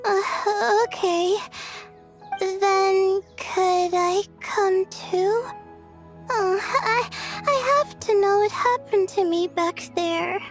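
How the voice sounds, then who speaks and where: A bashful young student from a game who keeps trailing off with soft "uh"s. Her voice sits very high and moves unhurriedly. Faint music plays under her. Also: steady tone, very slow, heavily acted. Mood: timid. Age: young adult. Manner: soft, hesitant, full of "uh"s. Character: shy, sleepy young woman student stargazer.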